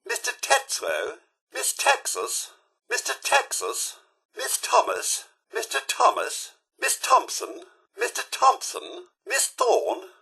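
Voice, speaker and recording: A man's voice: extremely high pitch, huge pitch swings, slow, vocal fry, pushed and bright, slightly rough, heavily acted. A man playing a polite British robot butler in a video game, formal and prim, with exaggerated enunciation. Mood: formal, courteous. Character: polite, prim robot butler, male-voiced, eager to serve. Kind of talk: video game voice lines, names called out formally.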